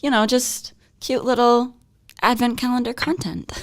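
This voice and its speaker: A woman's voice: a little high, steady tone, measured pace, no echo, clean studio sound. A young adult woman co-hosting a student radio show, chatting casually through news items and laughing often.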